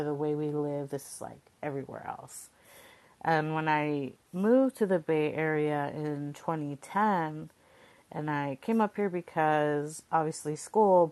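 A young woman from Southern California, reflecting casually and conversationally, peppering her talk with "like" and checking in with "right?". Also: sits low. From Southern California, Coachella Valley. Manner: informal, conversational, frequent 'like' and tag 'right?'. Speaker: podcast speaker.

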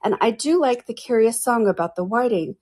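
Woman playing a polite, timid little girl, high voice. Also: lively intonation, fairly quick, a little mellow, slightly rough. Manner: polite, careful, hesitant.

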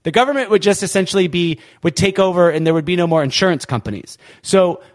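A man's voice: high-pitched, animated, fast talker. Impassioned man hosting a podcast. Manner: impassioned, emphatic. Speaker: podcast host. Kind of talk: political podcast chat.

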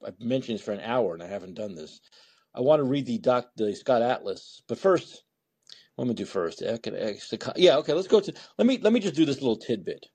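A male call-in host with a very lively, varied delivery and a dark, relaxed tone. He rambles through opinionated monologues in a blunt, sarcastic way. His voice is a little husky. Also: mid-range voice, quick pace. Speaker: host of a call-in talk show. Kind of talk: opinionated live talk radio monologue.

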